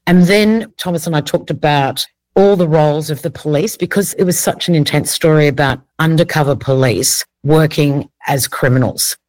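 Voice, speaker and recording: A woman's voice: low-pitched, moderate pace, a little mellow, no room sound. A woman with an Australian accent, talking conversationally as a casting director being interviewed.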